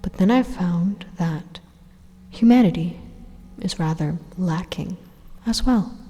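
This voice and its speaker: Woman voicing a breathy, menacing supercomputer in long reverb. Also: fairly low pitch. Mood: grave, persuasive. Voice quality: breathy, whispery. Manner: slow, deliberate, hushed monologue with dramatic pauses. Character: evil supercomputer machine, calmly menacing, persuasive would-be savior. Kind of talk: ASMR roleplay monologue.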